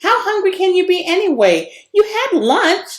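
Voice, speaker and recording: A woman's voice: very high voice, sing-song, normal speed, voice pushed up. A woman voicing an irritable boy in a storybook, grousing and firm, in an echoey room. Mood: firm, annoyed.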